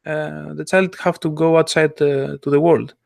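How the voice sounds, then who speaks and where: Guy, slightly high gravelly voice, hesitant podcast chat, super dry sound. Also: level tone, a little mellow, no echo. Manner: halting, searching for words, non-native grammar. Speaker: podcast co-host. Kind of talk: casual discussion.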